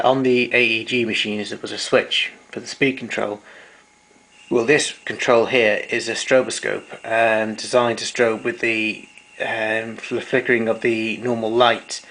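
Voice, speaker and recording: A man giving an informal technical demonstration in a hesitant, halting way, as a hobbyist showing equipment. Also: mid-range voice, a bit bright, poor audio. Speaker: vintage tape recorder enthusiast demonstrating equipment. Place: indoors, small domestic room.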